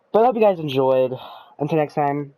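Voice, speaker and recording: A man chatting casually about a video game as a YouTuber, rambling and excitable with lots of ums and uhs and some swearing. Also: a little high, dry recording, narrow, tinny audio. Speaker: gaming YouTuber.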